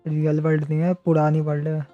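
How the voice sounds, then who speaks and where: A man's voice: on the high side, completely flat delivery, very fast. A man with an Indian accent, a native Hindi speaker, giving casual live commentary as he streams a game. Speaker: game livestreamer. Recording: dull muffled stream audio, faint music. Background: faint game music.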